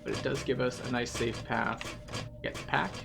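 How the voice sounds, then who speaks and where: Creaky-voiced man guiding a game walkthrough. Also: mid-range voice, steady tone, moderate pace, noisy background. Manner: methodical, explanatory. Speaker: game guide host. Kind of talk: guided video game walkthrough commentary.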